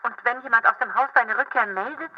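Casting: anxious woman on a thin, distant phone line, halting and wary, radio play. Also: on the high side. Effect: telephone filter. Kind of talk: German radio drama acting.

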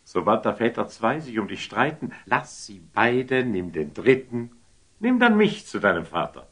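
An actor playing a warm, impulsive, generous sultan in a classic German radio drama, theatrical and coaxing. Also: male voice, mid-range voice, big pitch swings, unhurried, slightly rough, full character voice. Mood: coaxing, playful. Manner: theatrical, coaxing, swinging from murmurs to exclamations.

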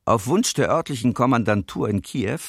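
An actor playing an impersonal military official reading a report in a documentary radio drama. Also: male voice, medium pitch, animated, moderate pace, no echo, studio quality. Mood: impersonal, clipped.

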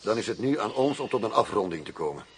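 An actor playing a cool, demanding arms buyer in a radio drama, formal, firm and self-assured. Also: male voice, on the low side, sing-song, fast talker, slightly rough, full character voice. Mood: firm, businesslike. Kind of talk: Dutch radio drama acting. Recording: noisy old radio drama recording.

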